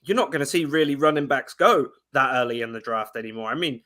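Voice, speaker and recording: Animated young Englishman talking football. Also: mid-range voice. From England. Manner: fast, animated football breakdown with frequent restarts. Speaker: guest analyst. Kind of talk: casual sports chat.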